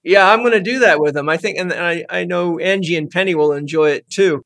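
Male podcast host, middle-aged, high voice, eager rambling talker, studio mic. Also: brisk, a bit bright. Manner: enthusiastic, rambling storyteller. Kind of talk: casual interview chat. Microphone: podcast microphone.

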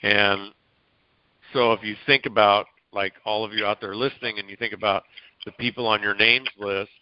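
A man's voice: fairly low pitch, little pitch movement, normal speed, clear, resonant tone, dry recording. Male host, encouraging coaching tone, dry dull call sound. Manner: encouraging, coaching tone addressed to listeners. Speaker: podcast host, interviewer. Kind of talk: casual interview chat.

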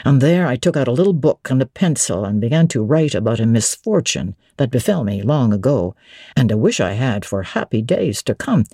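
A woman voicing a brooding, teasing middle-aged gentleman, commanding and whimsical, in a dramatic audiobook reading of a classic novel. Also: a bass voice, expressive, a little fast, slightly rough, no echo, clean studio sound, voice pushed down, heavily acted. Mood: wistful storytelling. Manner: whimsical, authoritative, theatrical.

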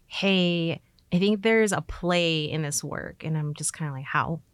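A woman's voice: sits low, a little slow, clear, resonant tone, no room sound. A woman talking casually as an artist being interviewed, telling things informally with quoted asides.

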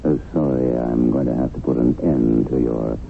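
A man's voice: very deep voice, moderate pace, vocal fry, heavily acted. A man playing a boastful, smug agent of evil in a radio drama, taunting with mock apologies. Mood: smug menace. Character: confident, boastful male agent of evil, a devilish tempter. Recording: tinny, band-limited radio recording with faint noise.

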